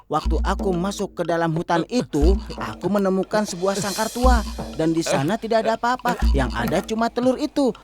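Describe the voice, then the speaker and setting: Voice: mid-range voice, animated, brisk, loud music behind the voice, natural voice. An actor voicing a bossy, protective cartoon man who scolds and explains emphatically in a children's cartoon dub. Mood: curious, excited. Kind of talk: Indonesian-dubbed children's cartoon acting.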